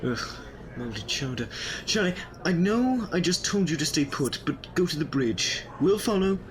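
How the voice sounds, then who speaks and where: A man's voice: on the high side, big pitch swings, normal speed, low-quality recording. Man playing a whimsical, urgent time-travelling eccentric. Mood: brisk, purposeful. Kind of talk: audio drama acting.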